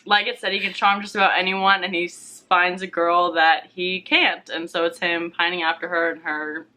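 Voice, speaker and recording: A woman's voice: on the low side, little pitch movement, normal speed, pushed and bright. A young woman chatting casually about books as a vlog guest, with informal, tentative phrasing. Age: young adult. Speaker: guest co-host on a book vlog. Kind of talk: casual book chat.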